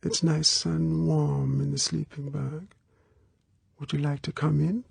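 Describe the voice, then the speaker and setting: Man voicing a soft breathy coaxing young woman. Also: fairly high pitch, flat delivery, slow, no echo, pitched above the performer's own voice, heavily acted. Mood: gentle, inviting. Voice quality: small soft breathy voice, near whisper. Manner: pleading, intimate. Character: young woman, sweet, coaxing, childlike and stubborn.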